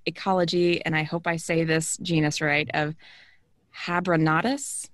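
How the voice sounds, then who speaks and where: An eager woman hosting a podcast interview, her voice sitting a little low for a woman. She sounds bright with enthusiasm and laughs along, recorded in a dry, close setting. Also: flat delivery, unhurried, no echo. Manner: enthusiastic, warm interviewer who laughs often. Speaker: podcast host.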